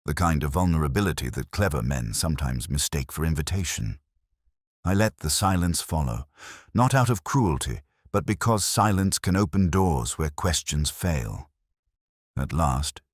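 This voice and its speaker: Male narrator for detective fiction: extremely low register, expressive swings in pitch, solemn and measured, dry studio sound. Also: dry recording. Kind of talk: scripted first-person literary detective narration.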